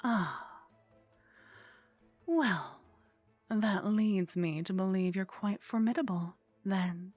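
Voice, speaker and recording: A woman playing a haughty, flirtatious vampire in an intimate ASMR roleplay, speaking softly and close to the microphone with a teasing, condescending manner that often drops toward a whisper. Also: medium pitch, slow, pauses often, no room sound, soft background music. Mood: disdainful, wry. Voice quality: soft, breathy.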